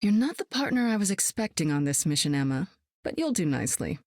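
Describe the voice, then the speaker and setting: A seasoned woman superspy with a cool, wry, self-assured delivery. Her voice sits a little low and is recorded close and dry, with no room around it. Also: big pitch swings, fairly quick, a little mellow, no room sound. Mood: cool, teasing. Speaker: game hero. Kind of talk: video game voice lines.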